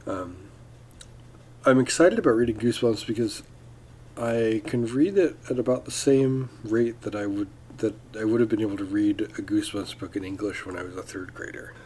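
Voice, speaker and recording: A man's voice: on the low side, moderate pace, darkish tone, a little hiss or hum. A man hosting a casual solo podcast, talking in a loose, rambling way full of ums and restarts. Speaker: podcast host.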